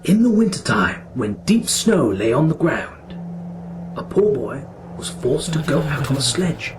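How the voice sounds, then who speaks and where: Casting: lively storyteller for children's fairy tales, mid-pitched, dramatic and full of wonder, music behind. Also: unhurried. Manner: wondering, suspenseful questioning. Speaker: story narrator.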